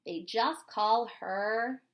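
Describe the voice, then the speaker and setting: A woman reading a children's story aloud expressively, with dramatic emphasis and pauses. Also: a little high. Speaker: storybook reader.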